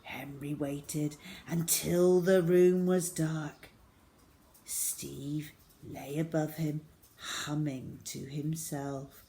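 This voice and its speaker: A woman reading a story to children as host, dramatic, with a way of dropping into suspenseful hush. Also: low-pitched, flat delivery, extremely slow, vocal fry. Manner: dramatic, with hushed suspenseful drops.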